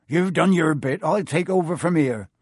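A man voicing a big gruff gamekeeper who talks bluntly with country words. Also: fairly high pitch, moderate pace, darkish tone, no echo, studio quality, voice pushed up, close to an everyday voice. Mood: stern.

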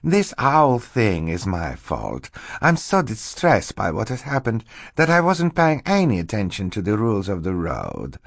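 A man's voice: fairly low pitch, wildly swooping pitch, measured pace, vocal fry, dry recording, studio quality, pitched above the performer's own voice, full character voice. A man voicing a slippery, two-faced storybook villain. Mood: falsely distraught. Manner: hissing menace switching to fluttery, falsely apologetic politeness. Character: scheming villain posing as meek, sorrowful assistant. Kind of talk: audiobook character acting.

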